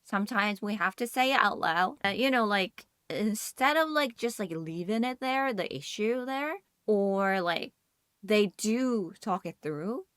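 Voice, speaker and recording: A woman's voice: medium pitch, expressive, a little slow, fairly bright tone, smooth, dry recording, clean studio sound. A woman co-hosting a podcast, discussing things in a casual, conversational way peppered with "like" and "you know". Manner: frequent hedging with "like" and "you know". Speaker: podcast co-host.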